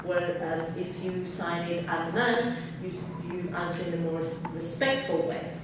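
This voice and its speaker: A woman's voice: on the low side, steady tone, normal speed. Woman, panel speaker, candid, hesitant fillers, distant mic, heavy room echo. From Norway. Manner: candid, thinking aloud with many fillers. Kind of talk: seminar panel discussion. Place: large hotel conference room. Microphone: table mic heard from far off. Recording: very echoey room, far from the mic, noisy.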